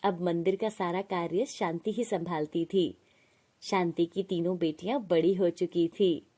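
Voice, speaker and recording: A woman's voice: lowish voice, fairly even intonation, unhurried, dark tone. A woman reading a scripted moral story as its narrator.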